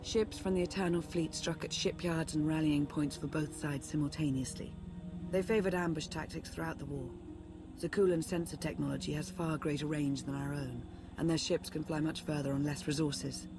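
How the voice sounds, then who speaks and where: An actress playing a composed, analytical female strategist in a video game, formal and measured as she explains. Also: sits low, fairly even intonation, music in the background. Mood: grave, explanatory. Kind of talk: dramatic video game acting.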